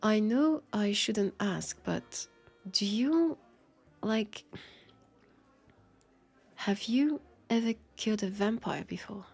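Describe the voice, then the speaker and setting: A woman's voice: mid-range voice, expressive, slow pace, gravelly, long pauses, darkish tone, slightly rough, faint music behind, heavily acted. A woman with a French accent playing a guarded but friendly vampire police officer in an intimate audio drama, speaking hesitantly and wryly, as if confiding. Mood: hesitant, cautious. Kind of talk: roleplay audio drama, intimate one-sided conversation.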